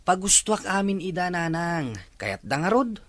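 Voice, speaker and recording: A woman's voice: lowish voice, very animated, measured pace, no echo. A woman with a Filipino accent acting a defiant grown child answering back in an audio drama. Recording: music under the voice.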